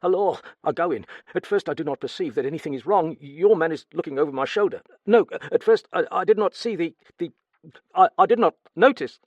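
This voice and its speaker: A man's voice: on the high side, animated, brisk, dry recording, lo-fi sound, pitched above the performer's own voice. Picture a male reader putting on a frightened male servant, stammering through every sentence. His voice sits well above the reader's own, thin and anxious. The sound is close and dry. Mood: frantic.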